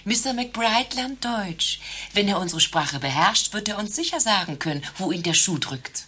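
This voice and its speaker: An actress playing a tactless, officious doctor's receptionist in a satirical radio play, dryly cutting. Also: female voice, medium pitch, lively intonation, normal speed, sharp tone, husky.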